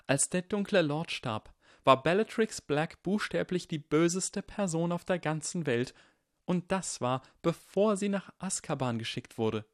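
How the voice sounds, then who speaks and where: A shy schoolboy from an audiobook, acted by a man. He is sincere and plainspoken, a little halting, in a slightly raised and expressive voice. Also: on the high side, no room sound, studio quality, voice pushed up. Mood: shaken, insistent.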